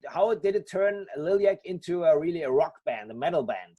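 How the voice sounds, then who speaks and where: Husky high-voiced man interviewing guests. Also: lively intonation, warm tone, highs cut off. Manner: friendly, halting questions with restarts. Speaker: podcast host interviewing a band. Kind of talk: casual interview.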